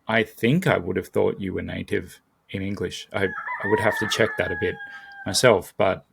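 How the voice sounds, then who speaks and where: A man's voice: fairly low pitch, huge pitch swings, normal speed, gravelly, very noisy. A man speaking as a podcast guest, talking casually and thoughtfully, searching for words aloud. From not American. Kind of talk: casual conversation.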